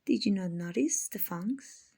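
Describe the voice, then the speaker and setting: Woman voicing a slow, breathy, gentle vampire lady. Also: medium pitch, expressive, full character voice. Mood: calm, regretful. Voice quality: soft, breathy, husky. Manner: slow, soothing, genteel, endearing. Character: gentle, kindly female vampire, soothing rich slave-buyer. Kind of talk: ASMR roleplay, soft and half-whispered.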